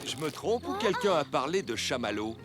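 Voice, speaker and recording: High-pitched, kindly cartoon dad. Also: male voice, sing-song, moderate pace. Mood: playful. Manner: friendly, gently playful. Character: kindly, jovial animal dad in children's cartoon. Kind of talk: children's cartoon dubbing. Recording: loud music behind.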